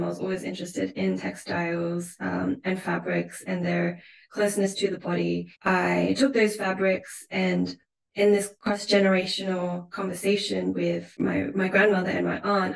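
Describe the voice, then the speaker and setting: A woman with an Australian accent, speaking reflectively and thoughtfully about her own work as an artist in an interview, in a measured way with pauses mid-phrase. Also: lowish voice, creaky. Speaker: visual artist being interviewed. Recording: roomy, echoing sound.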